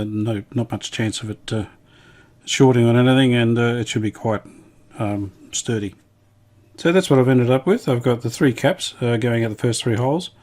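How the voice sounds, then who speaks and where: A man casually talking through a hands-on repair demonstration, unhurried and matter-of-fact, thinking aloud as he goes. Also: mid-range voice, darkish tone. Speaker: hobbyist radio restorer presenting his video.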